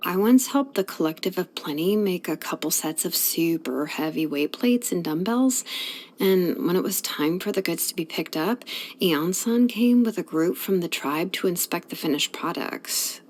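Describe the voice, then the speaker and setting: Game role: young female blacksmith, easygoing and wry, mid-range voice, chatty delivery with thoughtful pauses. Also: medium pitch. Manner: relaxed, wry, conversational with musing pauses. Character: laid-back, wry young female blacksmith and craftswoman of a fantasy tribe. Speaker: video game character. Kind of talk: scripted game character voice lines, conversational.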